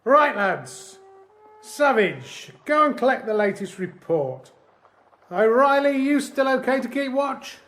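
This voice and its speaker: A man acting a brisk, caring army sergeant giving clipped orders in a First World War radio drama. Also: very high voice, big pitch swings, fairly bright tone, full character voice. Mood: commanding. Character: dutiful, caring army sergeant in WW1 trenches.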